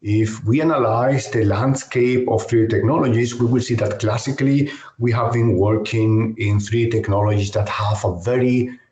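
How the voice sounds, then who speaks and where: A professor from Spain with a noticeable Spanish accent, talking through a technical webinar in a measured, hesitant way. His voice sits at an ordinary middle pitch. The audio is an echoey online call. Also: fairly even intonation, darkish tone. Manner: measured, careful explanation with frequent hesitations. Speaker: university professor.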